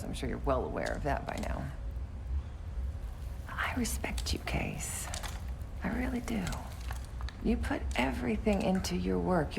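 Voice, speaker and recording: Self-righteous, mocking villainess, dark low register with heavy fry, video game drama over ambient noise. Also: female voice, heavily acted.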